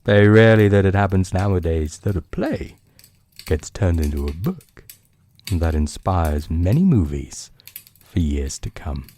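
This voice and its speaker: A man with a low-pitched, mellow voice narrates like a bedtime storyteller. He takes his time, lingering on phrases with dramatic pauses. His delivery has a husky edge. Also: animated. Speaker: podcast host and storyteller.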